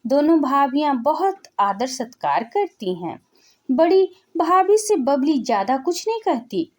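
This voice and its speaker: A woman's voice: sits high, dark tone. A woman storyteller reading a scripted narration of a family story.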